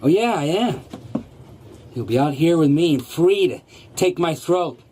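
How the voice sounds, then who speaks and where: An actor playing a sly gardener turned dog thief in a radio drama, coaxing and theatrical, repeating himself. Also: male voice, a little high, expressive, unhurried, warm tone, slightly rough. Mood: taunting, domineering.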